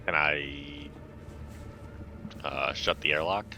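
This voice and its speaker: A man's voice: rumbling low, slow, sharp tone. A man playing a tabletop roleplaying game, chatting casually and asking tentative, informal questions.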